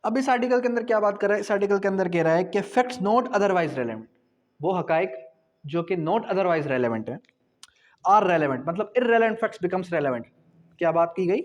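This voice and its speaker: High-pitched man lecturing. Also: animated, unhurried. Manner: didactic, asks and answers own questions; repeats key phrases for emphasis. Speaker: law lecturer. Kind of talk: explanatory exam-prep lecture.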